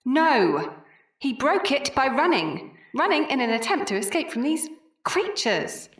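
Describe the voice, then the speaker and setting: A woman acts a brisk, indignant Victorian nurse in a horror radio play. Her high voice moves animatedly up and down, heard at a distance in an echoey space. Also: very animated. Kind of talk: period horror audio drama.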